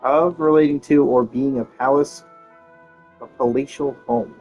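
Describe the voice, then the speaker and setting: A man's voice: medium pitch, fairly even intonation, measured pace, dull audio. A man giving casual, unscripted commentary as he plays a video game, with music behind him. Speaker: gameplay streamer. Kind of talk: casual game commentary, reacting and reading aloud.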